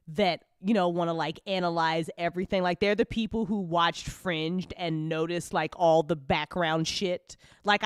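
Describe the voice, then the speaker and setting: A woman with a clean, smooth voice chats informally as a host, recorded close and very dry. Her talk rambles along in a loose, giggly way, with the easy language of a casual hangout. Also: sits low, no room sound. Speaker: audio drama creator hosting a live after-show chat. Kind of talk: casual chat.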